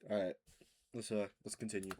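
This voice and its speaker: A man's voice: on the low side, flat delivery, brisk, background noise. A man giving effusive, emotional reaction commentary as he plays a game, sometimes reading its text aloud. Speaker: game streamer. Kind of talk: let's play reaction commentary. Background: game music.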